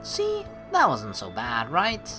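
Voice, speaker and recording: A woman doing a let's play, with a low voice and lively, swooping intonation. She narrates on-screen text and slips into playful commentary over background game music. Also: slow. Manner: playful, casual, teasing asides.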